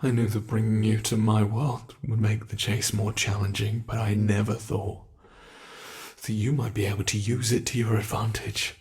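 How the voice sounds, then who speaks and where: A man's voice: lowish voice, little pitch movement, normal speed, darkish tone, natural voice. A man voicing a centuries-old predatory monster in an ASMR roleplay, theatrical and taunting, savoring each phrase with haughty, possessive menace. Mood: smug, triumphant.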